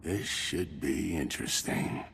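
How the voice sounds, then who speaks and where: Very deep, gravelly pirate thug. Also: male voice, a bit bright, heavily acted. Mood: menacing amusement. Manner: slow, rough, ungrammatical speech. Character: menacing male pirate thug, crude, amused. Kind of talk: video game cutscene acting. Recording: long reverb, faint background noise.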